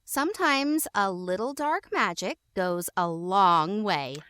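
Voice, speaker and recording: A woman's voice: medium pitch, animated, slow pace, pushed and bright, smooth, no room sound, studio quality. An actress playing a snooty, scheming teenage queen bee in a comedic radio play. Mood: smug.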